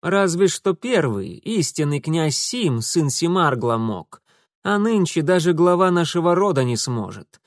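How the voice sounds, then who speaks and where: A proud, quick-tempered young nobleman speaks imperiously with a raised, tense pitch, voiced by a male narrator. He sounds easily offended. The read is dry and close to the mic. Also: very animated, no room sound. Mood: haughty. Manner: indignant, imperious.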